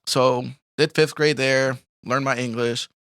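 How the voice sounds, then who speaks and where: A man's voice: medium pitch, fairly even intonation, measured pace, fairly bright tone, clean tone, no echo, studio quality. A young man from Colombia telling his life story in a casual interview, rambling with lots of fillers and frequent laughs. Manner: rambling, laughs often, many fillers like "like" and "you know". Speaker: podcast guest.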